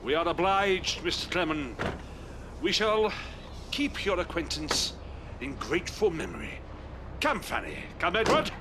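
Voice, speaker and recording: An actor playing a pompous, newly rich older Victorian father in an audio drama, grandiose and formal in his halting delivery. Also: on the high side, big pitch swings, background noise. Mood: pompous, lofty.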